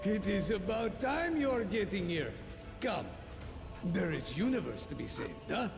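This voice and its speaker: An actor playing an excitable, eager hero with broken English and a hearty manner in a game, recorded with echoey reverb. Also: male voice, high voice, a little slow, creaky, over music, full character voice. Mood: eager urging. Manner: non-native phrasing, hearty. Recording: echoey hall with long reverb.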